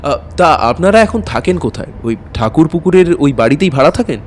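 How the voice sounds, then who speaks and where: A man's voice: a little high, lively intonation, fairly quick, a little hiss or hum, lightly acted. An actor playing a courteous, hesitant maths tutor, telling his own eerie story in an audio drama. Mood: polite, hesitant. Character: anxious, courteous male maths tutor recounting an eerie night. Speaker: narrator and lead character. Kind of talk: dramatic first-person ghost-story narration.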